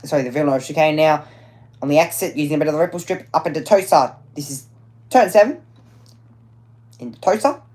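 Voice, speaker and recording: A woman giving live commentary on a motorsport qualifying session, chatty and quick to correct herself, with plenty of fillers along the way. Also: deep voice, darkish tone.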